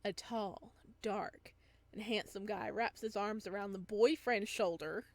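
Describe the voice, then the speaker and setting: A woman's voice: mid-range voice, fairly even intonation, unhurried, no echo. A woman reading a visual novel aloud as a let's play host, with casual, amused asides.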